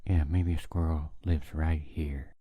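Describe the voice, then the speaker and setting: A man giving soothing, unhurried narration in the manner of a gentle lesson. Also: extremely low pitch, steady tone, noisy background.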